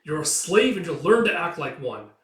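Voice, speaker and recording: Male performer as domineering animated slave owner, scolding and scornful, distant roomy recording. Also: on the high side, normal speed, pitched above the performer's own voice. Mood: berating. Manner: berating, contemptuous. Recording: echoey room, distant from mic.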